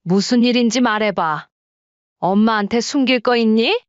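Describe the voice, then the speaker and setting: A woman voicing a caring middle-aged mother who speaks gently and with concern, recorded dry and slightly dull. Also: on the high side, moderate pace, clear, resonant tone, no room sound, natural voice.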